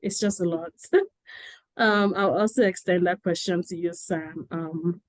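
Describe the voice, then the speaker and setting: A woman from Nigeria hosting a panel conversation over a video call, chatty and quick to laugh, with many fillers. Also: on the low side, steady tone, dry recording. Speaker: interviewer.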